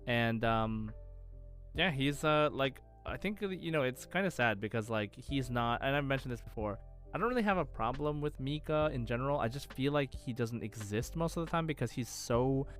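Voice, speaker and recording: A man hosts a quiz podcast in a relaxed, chatty style. His voice sits at a medium pitch. He rambles and hesitates as he goes, with soft music underneath. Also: moderate pace. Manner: hesitant, rambling, heavy use of "like". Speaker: podcast host, quizmaster. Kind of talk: casual chat.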